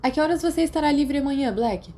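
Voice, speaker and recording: A woman's voice: high voice, fast talker, mellow, voice pushed up. A woman voicing a confident, sociable teenage schoolboy, an eager ringleader, in an audiobook with a casual, upbeat delivery. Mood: casual probing.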